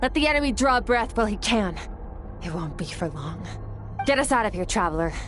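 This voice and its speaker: An actress playing a cool, aloof young woman warrior who speaks in a calm, cold and cutting way. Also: mid-range voice, brisk, close to an everyday voice. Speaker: game character. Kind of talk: game voice acting. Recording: game audio with faint music.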